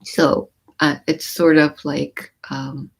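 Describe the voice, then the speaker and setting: A woman with a somewhat low voice hosts a relaxed livestream. Japanese is her mother tongue but she grew up in the US. She reads out viewer comments and explains lyrics, pausing on ums and restarting her sentences. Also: vocal fry, husky. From born in Japan, raised in the US. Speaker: livestream host. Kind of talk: casual livestream chat.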